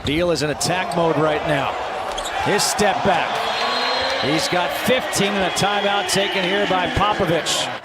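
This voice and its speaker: A man's voice: high voice, unhurried. A man calling live basketball play-by-play in short, clipped phrases that rise on big plays. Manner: clipped running calls, rising on big plays. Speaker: play-by-play announcer. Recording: arena crowd and court noise behind commentary. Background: busy basketball arena, bouncing ball.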